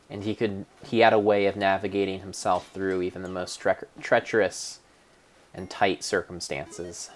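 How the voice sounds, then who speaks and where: Male narrator, lowish pitch, for a mock-solemn dramatic reading; theatrical, deliberate storybook delivery. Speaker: narrator of a game playthrough.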